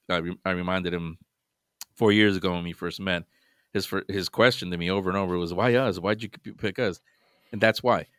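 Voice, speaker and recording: A man's voice: low-pitched, fairly bright tone, dry recording, studio quality. A man guesting on a podcast, giving earnest advice in casual chat, often restarting his sentences.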